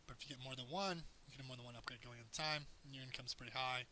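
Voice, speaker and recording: A man with a hoarse voice, casually talking through a strategy game as he plays it, explaining tips in a loose, rambling way. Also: mid-range voice, fairly even intonation, rapid-fire, heavy background noise. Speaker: gameplay commentator. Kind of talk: casual game tutorial commentary.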